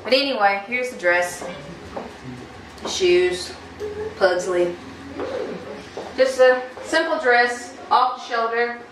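A woman's voice: fairly high pitch, takes it slowly, long pauses. A woman in her thirties with an American accent, chatting casually and informally to the camera as a vlogger. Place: parked car and rooms at home. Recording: some background noise.

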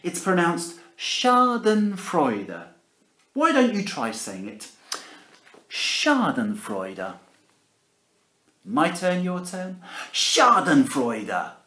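A man's voice: high voice, huge pitch swings, very slow, pauses often, sharp tone. A man in his forties reading a picture book aloud to children in a theatrical, playful way, speaking to them directly in a living room. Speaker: primary school teacher reading aloud. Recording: roomy home camera recording.

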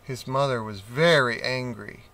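A man reading a children's picture book aloud, slowly and expressively. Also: mid-range voice. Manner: slow, expressive reading with character voices. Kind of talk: storybook read-aloud.

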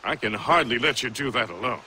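An actor playing a cheerful, lively frontiersman in a video game. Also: medium pitch, a little fast, a little hiss or hum, low-quality recording. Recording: game audio with music behind.

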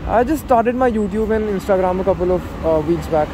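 A woman's voice: medium pitch, expressive, fairly quick. A woman in her twenties with an Indian English accent chatting casually as a motovlogger by a city road. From Delhi, India. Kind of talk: casual roadside chat. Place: outdoors on a city roadside by a motorbike. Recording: loud traffic noise outdoors.

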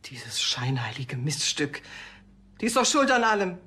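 An actress playing a bitter, curt abandoned wife of about sixty in a TV crime series. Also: female voice, deep voice, very animated, unhurried, sharp tone, slightly rough, heavily acted. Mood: resentful, scornful. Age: around 60.

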